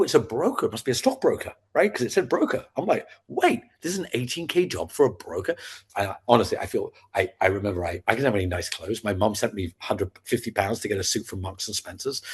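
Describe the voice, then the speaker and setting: A man in his fifties from Scotland with a neutral British accent, telling his career story as a podcast guest in lively, animated chat. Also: lowish voice, breathy edge. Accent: neutral British, not Scottish-sounding. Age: 50s. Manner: lively storyteller acting out his past self in quotes. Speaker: podcast guest, sales founder.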